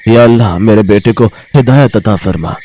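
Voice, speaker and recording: A man's voice: fairly low pitch, moderate pace, mellow, close to an everyday voice. Man acting a weary, devout poor father. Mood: sorrowful. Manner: earnest, solemn. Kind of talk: children's animated moral story acting.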